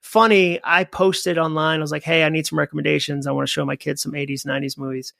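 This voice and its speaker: A man in his forties from New England talks in a loose, rambling way, full of "um" and "like" as he jumps from story to story. His voice sits a little high for a man and has a gravelly rasp. The studio sound is close and dry. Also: quick pace, no room sound. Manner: rambling, animated storytelling with many fillers. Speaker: podcast guest. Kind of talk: casual conversation.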